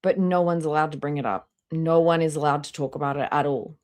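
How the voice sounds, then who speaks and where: A woman's voice: low voice, steady tone, a little fast, darkish tone. A woman hosting a live stream with casual reaction commentary, speaking in a blunt, skeptical way and swearing freely.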